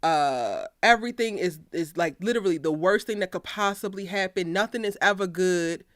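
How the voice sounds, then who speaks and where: A woman's voice: on the low side, normal speed, no echo, clean studio sound. A woman hosting a casual discussion podcast, talking in a chatty way and speaking directly to her viewers. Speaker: podcast host.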